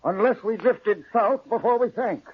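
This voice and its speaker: A sea captain who stands his ground, earnest and formal in his seafaring speech. The voice sits high with a husky edge, in the manner of old-time radio drama. The recording is muffled and vintage. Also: normal speed. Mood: earnest, defensive. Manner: formal nautical speech with "aye". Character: earnest, defensive sea captain with superstitious streak.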